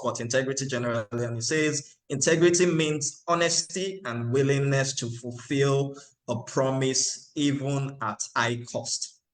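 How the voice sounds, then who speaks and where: A man hosting a webinar panel, introducing speakers in a relaxed, chatty way with fillers and light jokes, heard over a video call. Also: mid-range voice, poor audio. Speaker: webinar moderator.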